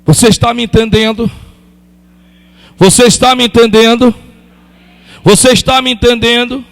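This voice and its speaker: A man's voice: extremely high pitch, fairly even intonation, moderate pace, creaky, fairly bright tone. A pastor in his fifties preaching a sermon, emphatic and repetitive, calling out to his congregation. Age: 50s. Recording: long hall reverb.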